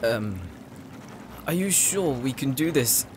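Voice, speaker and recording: A man's voice: medium pitch, expressive, slow pace, slight background noise. A man playing an anxious, earnest, innocent young sidekick in an anime-style audio drama. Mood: nervous, puzzled.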